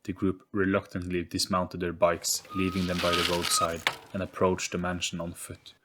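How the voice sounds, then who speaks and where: A man reading a scripted, dramatic story in an ominous, measured storytelling manner. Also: low-pitched, completely flat delivery, heavy background noise. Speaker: audio story narrator.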